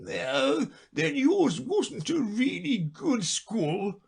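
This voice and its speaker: A man voicing the Mock Turtle, a sobbing, self-pitying storybook creature who wails his words slowly. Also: very animated, gravelly, exaggerated voice. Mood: mournful. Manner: slow tearful wail, high and quavering.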